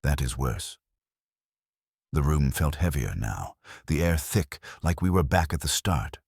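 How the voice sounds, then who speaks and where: Very deep-voiced male story narrator. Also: very animated, moderate pace, creaky, darkish tone, slightly rough, dry recording, studio quality. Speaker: audiobook narrator. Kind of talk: scripted first-person detective story narration.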